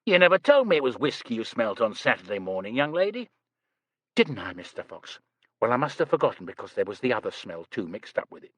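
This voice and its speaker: A man's voice: mid-range voice, big pitch swings, brisk, a bit bright, husky, dry recording. A male narrator voicing a nervous, chatty young English housemaid who is prim and says sir constantly. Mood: earnest.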